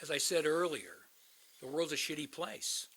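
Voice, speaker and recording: Guy acting jaded older hotline counselor, cynical and bitter, slightly high voice, radio play style. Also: lively intonation, normal speed, bright, forceful tone, breathy edge, heavily acted. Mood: provocative. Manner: world-weary, philosophizing.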